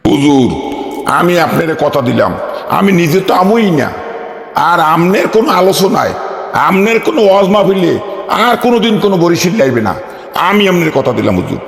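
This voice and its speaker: Cartoon casting: male actor as persistent, respectful Barisal-accented villager, swooping highish pitch, echoing hall sound. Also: very animated, fairly quick, vocal fry, exaggerated voice. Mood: humble, insistent. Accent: Barisal Bengali. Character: persistent, outspoken village worshipper man from Barisal, respectfully challenging. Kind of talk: comic cartoon dialogue. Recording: echoey hall reverb, faint music behind.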